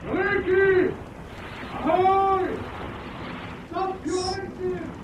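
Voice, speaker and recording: An actor playing a brusque German U-boat commander in a radio drama, shouting deliberately as he hails across water. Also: extremely high pitch, little pitch movement, muffled recording, noisy background, exaggerated voice. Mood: brusque, hailing.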